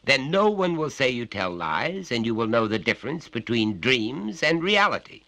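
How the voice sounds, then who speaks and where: A man's voice: medium pitch, sing-song, moderate pace, sharp tone, no echo, voice pushed up. Man doing a pompous, overbearing father. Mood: lecturing. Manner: lecturing, condescending, menacing.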